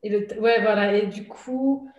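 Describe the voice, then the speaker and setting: A woman's voice: mid-range voice, steady tone, moderate pace, a little mellow, dull audio. Woman, echoey room. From Switzerland. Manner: hesitant with frequent fillers, laughs often. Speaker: guest, artist and illustrator. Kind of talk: casual conversational interview. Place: at home during lockdown.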